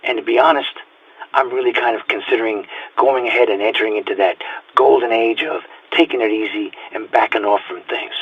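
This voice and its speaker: A man's voice: mid-range voice, fairly quick, breathy edge, no room sound, lo-fi sound, close to an everyday voice. A man playing a weary, haunted police detective nearing retirement, speaking a reflective, confiding journal entry in an audio drama.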